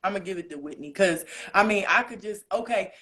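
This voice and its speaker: A woman with a deep voice, a guest in a casual interview, talking in an animated, slangy, emphatic way and thinking aloud with fillers. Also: brisk, slightly rough. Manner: animated, breaks into singing snatches.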